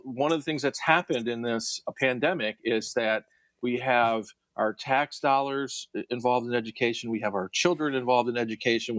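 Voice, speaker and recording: A man who is a newspaper editor and regular co-host, speaking in a measured radio panel style. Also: mid-range voice, fairly bright tone, no echo.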